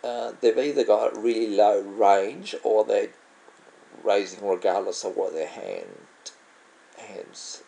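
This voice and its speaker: A man's voice: lowish voice, animated, slow, vocal fry, dark tone, poor audio. A man with an Australian accent, commenting casually on his own online poker game, musing aloud and often trailing off to correct himself. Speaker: vlogger playing online poker. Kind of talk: casual commentary over gameplay.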